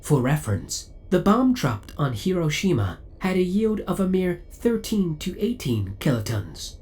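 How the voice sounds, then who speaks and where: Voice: mid-range voice, big pitch swings, measured pace, darkish tone. An actor voicing a possessive, devoted spaceship AI in an audio roleplay, speaking in a formal, precise, clinical and politely menacing way. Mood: coolly jealous. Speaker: voice actor playing a ship's AI. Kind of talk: scripted ASMR audio roleplay acting.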